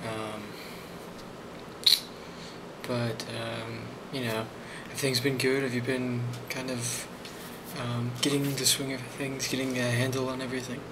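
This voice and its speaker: A man speaking softly in an ASMR role play as a boss, hesitant and reassuring, with frequent pauses. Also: medium pitch, flat delivery, noisy background. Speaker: ASMR role-player playing a boss giving a review.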